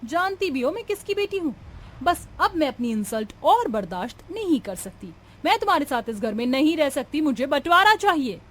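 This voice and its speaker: A woman playing a young, indignant, sharp-tongued daughter-in-law in a cartoon family drama, with a scolding, melodramatic delivery. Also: sits high, very animated, moderate pace. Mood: angry, indignant.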